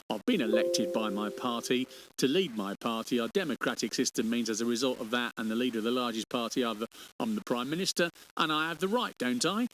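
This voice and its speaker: A man's voice: medium pitch, a bit bright, slight background noise, rough recording. A man interviewing on radio about politics, challenging his guest with hesitant phrasing and tag questions.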